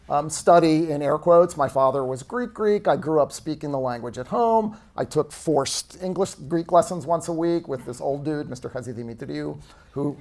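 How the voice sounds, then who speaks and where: A man, an author, chatting at a bookstore event, self-deprecating and relaxed, with plenty of fillers. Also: fairly high pitch. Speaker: author being interviewed. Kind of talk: casual conversation at a book event.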